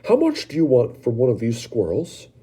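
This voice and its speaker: A man's voice: mid-range voice, huge pitch swings, normal speed, dark tone, slightly rough, pitched above the performer's own voice, exaggerated voice. A man doing a pompous rich father. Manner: self-important, blunt. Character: pompous wealthy father flashing his money.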